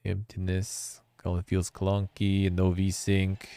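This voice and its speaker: A man's voice: sits low, monotone, takes it slowly, dark tone, clean tone. A man hosting a live stream, chatting offhandedly and reading text aloud with wry asides. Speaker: streamer and show host. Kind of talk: casual live-stream commentary.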